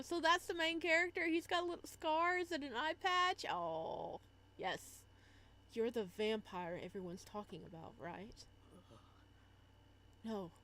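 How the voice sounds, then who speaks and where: A woman with a fairly high voice hosts a casual live game stream. She reads on-screen text aloud, sometimes haltingly, and mixes in chatty comments for her viewers. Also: fairly bright tone.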